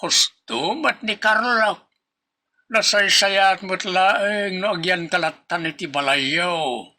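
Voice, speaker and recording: High, slow old father. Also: pushed and bright, full character voice. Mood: pleased, tender. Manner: slow, drawn-out delivery. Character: kindly, delighted old father giving his blessing. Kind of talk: radio drama acting.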